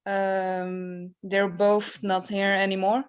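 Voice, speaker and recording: A woman introduces herself over a video call, speaking slowly with frequent ums and pauses. Her voice is smooth and clear at a middle pitch. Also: little pitch movement, dull audio. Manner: hesitant, many ums. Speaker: occupational therapist.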